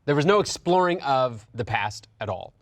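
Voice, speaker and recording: A man's voice: mid-range voice, lively intonation, normal speed, dry recording. A man talking casually as a panelist in a conversational discussion. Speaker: panelist on a video game movie show.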